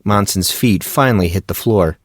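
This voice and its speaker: Low-voiced man reading an audiobook. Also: normal speed, no room sound, studio quality. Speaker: audiobook narrator.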